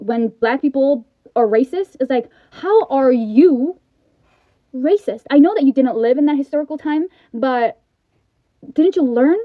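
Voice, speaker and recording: A woman's voice: sits high, dry recording. A woman from California with an American accent, reacting casually in an incredulous, emphatic way and repeating herself. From California, USA. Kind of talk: casual direct-to-camera reaction. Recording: dry, tinny phone-like audio.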